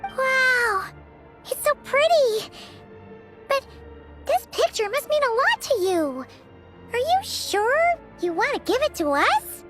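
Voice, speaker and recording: An extremely high, bouncy little fairy voice, chirpy and curious like a bubbly child. The delivery swings animatedly for a video game scene, with loud music behind it. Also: female voice, very animated, takes it slowly, pauses often, exaggerated voice. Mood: excited. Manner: exclamatory, bubbly. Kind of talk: video game cutscene acting.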